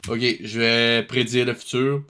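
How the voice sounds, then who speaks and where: A man with a Québécois accent and a mid-pitched voice, commenting casually on a cartoon. He sounds sarcastic and incredulous, with some music behind him. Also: flat delivery, unhurried, bright, forceful tone. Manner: mocking, incredulous. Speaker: host reviewing a cartoon.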